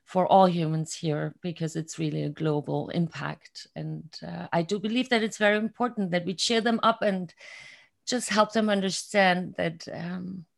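A middle-aged German-accented woman chats as a podcast guest, her voice sitting on the low side. She is cheerful and laughs often, now and then stopping to search for the right English word. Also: normal speed, vocal fry. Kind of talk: casual podcast interview.

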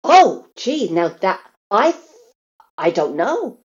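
A woman's voice: medium pitch, very animated, takes it slowly, dull audio. A woman from Canada telling stories casually as an interview guest, acting out what others might say. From Canada, grew up in Newfoundland and Alberta. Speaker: interview guest, theatre performer.

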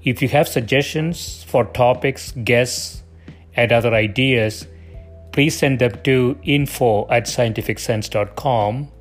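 A man's voice: mid-range voice, slow, soft background music. A man hosting a podcast, reading a set introduction and then talking casually with many hesitations. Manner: hesitant, many fillers in conversation. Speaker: podcast host.

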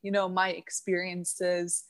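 A woman's voice: lowish voice, little pitch movement, slow. A young woman, a medical student, speaking as a podcast guest in a candid, hesitant way with many fillers. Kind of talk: casual podcast interview.

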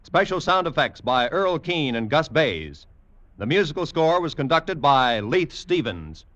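A man's voice: on the high side, very animated, measured pace, fairly bright tone. A man announcing in a polished, emphatic, scripted 1940s network radio style. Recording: dull 1940s radio broadcast recording.

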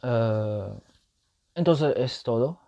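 A man's voice: mid-range voice, lively intonation, extremely slow, vocal fry, mellow, muffled recording. A man hosting a casual solo podcast, explaining his point patiently and often checking in with the listener, speaking Spanish as a learned language. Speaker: podcast host.

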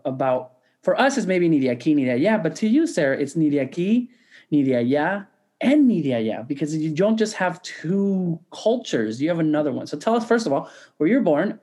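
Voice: mid-range voice, dark tone. A podcast co-host asking a guest questions in a loose, rambling way, with many restarts. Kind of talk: casual interview chat.

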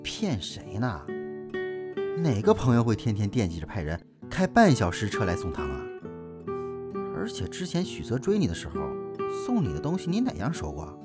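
A brash, low-voiced, teasing friend. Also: female voice, wildly swooping pitch, normal speed, loud music behind the voice, exaggerated voice. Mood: incredulous, teasing. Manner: cheeky, mock-boastful, slangy. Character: brash, teasing, bossy best friend of young actor. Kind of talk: modern radio drama acting.

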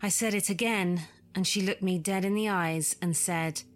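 A woman's voice: medium pitch, fairly even intonation, normal speed. A woman reading a scripted first-person story as a narrator. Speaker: guest reader of listener stories.

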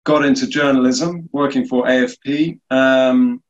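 Brief: male guest from the UK, mid pitch, easygoing, muffled low-fi audio. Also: measured pace. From United Kingdom. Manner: relaxed, many fillers and restarts. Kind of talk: casual interview answers.

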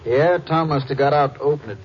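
A man playing a folksy, gentle small-town Texas sheriff in an old radio drama, plain-spoken in manner. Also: fairly high pitch, brisk, lightly acted. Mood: puzzled, grim. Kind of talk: 1940s-50s radio drama acting. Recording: muffled, noisy old-time radio recording.

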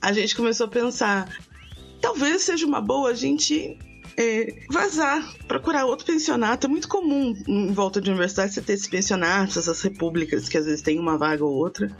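A woman's voice: a little high, lively intonation, fairly quick, soft background music. A woman in her thirties hosting a podcast, telling a long story in casual chat with many restarts and asides. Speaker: podcast host.

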